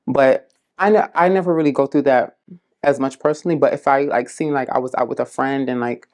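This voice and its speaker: A woman from South Florida, a community case manager, giving unhurried, earnest interview answers, often pausing with "um" and "like". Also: very deep voice, fairly even intonation, a little mellow. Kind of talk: casual interview answers to prompts.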